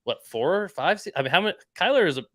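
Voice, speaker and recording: A male co-host with a bright, forceful tone, pushing his opinions hard in casual sports talk. His pitch jumps when he gets worked up, and the recording is close and dry. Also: sing-song, fast talker, no room sound. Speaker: podcast co-host. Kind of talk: casual sports podcast chat.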